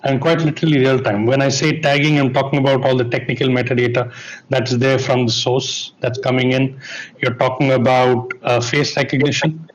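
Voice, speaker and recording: A man explains a point on a conference panel, steady and mid-pitched. He builds his case step by step. The sound is dull and lo-fi. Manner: explanatory, asks listeners to bear with him.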